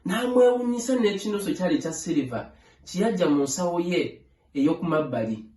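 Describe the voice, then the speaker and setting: A man narrating a children's story aloud in a measured, phrase-by-phrase read-along style. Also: sits high, expressive, darkish tone. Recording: echoey room.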